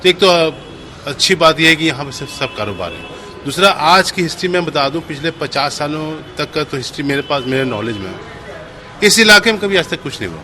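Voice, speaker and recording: A man's voice: on the high side, lively intonation, brisk, sharp tone. A middle-aged or older man giving emphatic, explanatory interview answers, dropping in English words. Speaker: mosque committee president.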